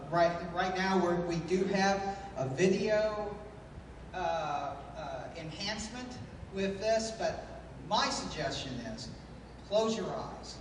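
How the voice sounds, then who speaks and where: A man giving an informal, halting and sentimental introduction as host of a live show. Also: on the high side, noisy background. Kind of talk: informal stage introduction and dedication. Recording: echoey hall, distant mic, music behind.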